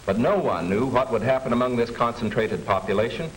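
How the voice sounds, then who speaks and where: An American man reading scripted 1940s wartime documentary narration in a measured, formal manner. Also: medium pitch, lively intonation, a little hiss or hum, low-quality recording. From United States. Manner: measured, formal, declarative delivery. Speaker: government film narrator. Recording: 1940s film soundtrack with music underneath. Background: music.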